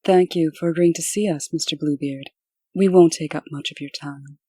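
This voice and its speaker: A woman's voice: sits low, steady tone, normal speed, warm tone, breathy edge, no room sound, clean studio sound. A woman playing a composed, proper, businesslike female official in a comic dub, polite but authoritative.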